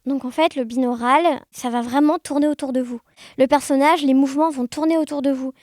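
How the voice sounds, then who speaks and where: A woman's voice: high-pitched, fairly even intonation, brisk, darkish tone, no echo, clean studio sound. A woman chatting informally and animatedly as an interview guest. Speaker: interview guest, amateur audio fiction maker. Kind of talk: casual conversational interview.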